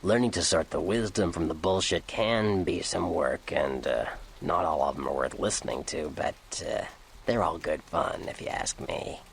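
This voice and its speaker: An actor playing a worldly, sardonic old vampire informant in a video game, gravelly, with a dry, wry, slangy way of explaining things. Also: male voice, low-pitched, moderate pace, slight background noise. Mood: wry, knowing. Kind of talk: video game character dialogue.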